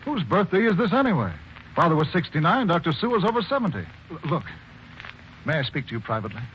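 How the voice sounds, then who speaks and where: An eager young man with a fairly high, very lively voice that swings up and down. It comes through a dull, muffled 1940s broadcast with light hiss. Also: fast talker. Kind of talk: 1940s radio acting.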